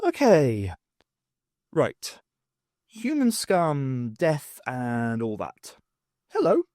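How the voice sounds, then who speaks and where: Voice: medium pitch, wildly swooping pitch, drawn-out words, dark tone, dry recording, studio quality, exaggerated voice. An audiobook narrator voicing a wry adventuring woman with a groggy, offhand manner.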